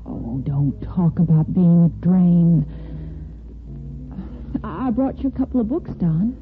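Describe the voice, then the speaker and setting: An actress playing a tired, tender-hearted nursing superintendent in a 1950s radio soap, speaking gently and haltingly. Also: female voice, fairly low pitch, expressive, slight background noise. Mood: tender, encouraging. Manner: hesitant, gentle, halting speech. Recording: muffled old radio broadcast, faint music.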